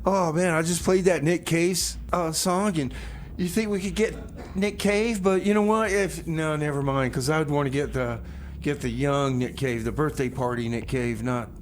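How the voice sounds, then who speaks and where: A man hosting a community radio music show and bantering loosely, in a joking, rambling way. His voice sits a little high. A faint hiss runs under it. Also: moderate pace.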